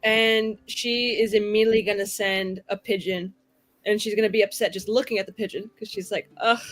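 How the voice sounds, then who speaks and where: A woman's voice: mid-range voice, fairly even intonation, brisk. A woman playing a tabletop game, casually and expressively narrating what her character does in informal language. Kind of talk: casual improvised roleplay narration. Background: music.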